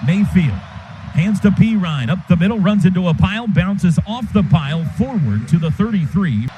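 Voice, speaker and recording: An American man giving live radio play-by-play of a football game in clipped phrases with excited calls. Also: sits high, normal speed, slight background noise, poor audio.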